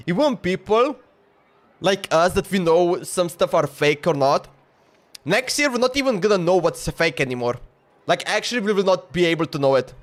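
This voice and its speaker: A man live streaming a game with casual, rambling, informal commentary that often repeats itself. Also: sits high. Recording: faint game music behind.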